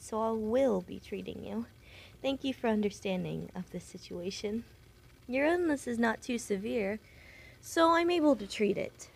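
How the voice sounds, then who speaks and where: A woman playing a kindly, chatty young witch who works as a nurse assistant in an audio roleplay, gentle, polite and a little flustered. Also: fairly high pitch. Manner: gentle, polite, apologetic, slightly stammering. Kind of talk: audio roleplay addressed to the listener.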